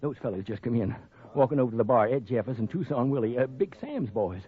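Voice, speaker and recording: An actor with an American accent playing a folksy, hesitant, deferential hotel manager in a 1950s radio western. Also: male voice, medium pitch, animated, fast, natural voice. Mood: wary. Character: eager, deferential frontier hotel manager, nervous American man. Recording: muffled old radio recording.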